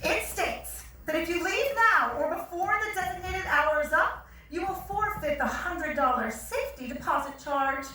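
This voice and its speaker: A woman's voice: very high-pitched, expressive, normal speed, hardly any pauses, bright, forceful tone, exaggerated voice. A woman on stage playing a grand, mock-gracious and commanding speed-dating hostess, heard from far off in a very echoey theatre. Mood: smugly commanding. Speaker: actor in a staged reading. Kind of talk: theatrical announcement to a room. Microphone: far audience camera. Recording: very echoey theatre, distant mic.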